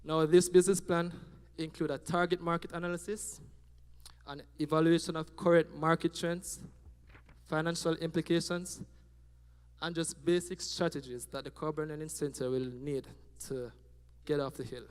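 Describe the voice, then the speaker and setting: Young Jamaican man, student presenter, animated and playful, handheld mic in a reverberant auditorium. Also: a little high, moderate pace, clean tone. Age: young adult. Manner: animated, joking, checks in with the audience. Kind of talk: class presentation on stage. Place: auditorium stage. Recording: hall reverb.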